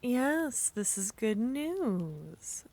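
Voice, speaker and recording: A woman voicing a seductive, possessive witch, speaking softly in half whispers with a teasing manner in an ASMR roleplay. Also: on the high side, sing-song, drawn-out words.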